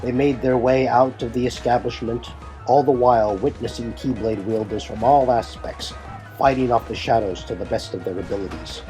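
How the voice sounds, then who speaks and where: A man's voice: medium pitch, moderate pace, dark tone. A man delivering scripted, dramatic narration for an audio drama. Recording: music bed behind the voice.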